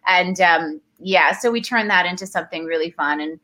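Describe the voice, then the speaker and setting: A woman's voice: lowish voice, moderate pace, pushed and bright. A middle-aged woman from Tanzania, an actress, chatting in an interview with frequent laughs and restarts. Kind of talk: casual interview chat.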